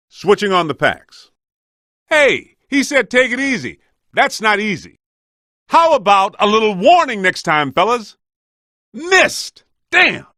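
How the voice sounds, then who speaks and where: A man's voice: high-pitched, bright, forceful tone, dry recording, studio quality, heavily acted. A man playing a streetwise, wisecracking ghost hunter in a video game, speaking in a colloquial, wry, plain-spoken way. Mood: wry, casual, then urgent, alarmed. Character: streetwise, wisecracking male ghost-hunting team member.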